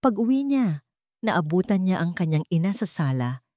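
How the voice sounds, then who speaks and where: Lower-voiced woman narrating a story. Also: big pitch swings, moderate pace, warm tone, no echo, clean studio sound. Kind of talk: scripted dramatic romance narration.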